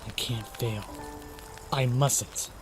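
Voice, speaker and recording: A man playing a young knight in a fanmade audiodrama, quiet and dutiful, speaking in a subdued, earnest, measured way. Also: mid-range voice, fairly even intonation, over music, lightly acted. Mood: burdened, resolute. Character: young male knight, quiet, burdened, dutiful, gently caring. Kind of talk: audiodrama character acting.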